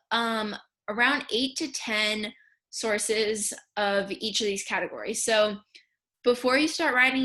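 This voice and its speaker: A woman's voice: a little high, sharp tone. A young American woman casually talking through an instructional slideshow, explaining things informally with little asides. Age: young adult. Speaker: student speech team officer giving a tutorial. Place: indoors in a room at home, on a webcam.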